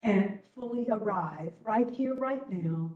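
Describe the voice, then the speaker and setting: Female meditation instructor, very slow, long pauses, soft-edged mellow tone, echoing room. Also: medium pitch, expressive, narrow, tinny audio. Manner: gentle, unhurried, long pauses between phrases. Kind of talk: guided meditation. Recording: roomy, distant webinar audio.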